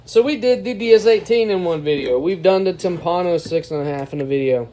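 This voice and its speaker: A man's voice: sits high, animated, fairly quick, mellow. A man hosting a casual, unscripted product test, talking informally to his viewers as he reviews gear. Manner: informal, addresses viewers as guys. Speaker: car audio product reviewer and video host. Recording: clearly noisy background.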